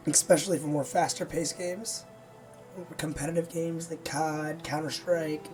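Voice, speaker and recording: A gaming streamer with a hoarse, nearly lost voice, chatting casually and rambling to viewers before playing. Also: mid-range voice, darkish tone. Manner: rambling, easygoing, many fillers.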